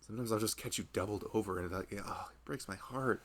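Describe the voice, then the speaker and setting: A man's voice: lowish voice, fast talker, a bit bright, a little hiss or hum, lightly acted. A man playing a loving husband who rambles tenderly and a little anxiously in an intimate, conversational ASMR roleplay. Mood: tender, sympathetic. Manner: rambling, hesitant, conversational, frequent "you know". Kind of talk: intimate ASMR boyfriend roleplay, improvised-sounding chat.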